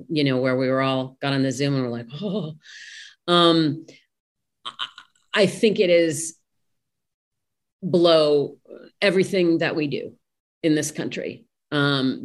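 A woman with a low voice speaks as an interview guest. She is thoughtful and halting, often searching for the right words as she explains her view. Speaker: interview guest, play translator.

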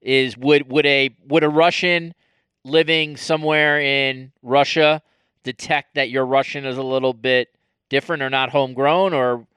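A man interviewing a guest, asking questions haltingly and restarting them. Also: mid-range voice, steady tone, fairly bright tone, smooth, no room sound, studio quality.

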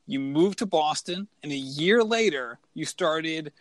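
A man's voice: a little high, animated, unhurried, no room sound. A man hosting a running podcast, talking enthusiastically and rambling a little as he introduces and interviews his guest. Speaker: podcast host. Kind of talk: casual interview chat.

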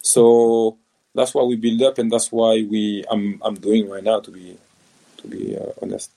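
A man in his thirties with a French accent gives a relaxed interview. His voice sits low and sounds dark and mellow. He speaks haltingly, restarting phrases and repeating words. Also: creaky, poor audio. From France. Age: 30s. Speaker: guest, ex-footballer. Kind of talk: casual interview.

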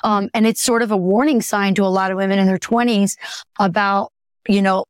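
Raspy-voiced woman co-hosting a podcast. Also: medium pitch, brisk, dry recording, studio quality. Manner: lively, laughs easily, asks her co-host questions. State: hoarse. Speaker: podcast co-host. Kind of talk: casual political chat.

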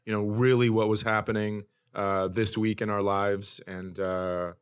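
A man's voice: lowish voice, little pitch movement, a little slow, clear, resonant tone. A man hosting a comedy podcast, telling stories casually in a wry, self-deprecating, conversational way.

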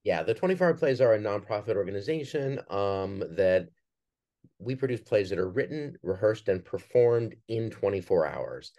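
A man's voice: on the low side, lively intonation, normal speed, dark tone. A man answering conversationally as a guest on an interview podcast. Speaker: podcast guest, theater artistic director.

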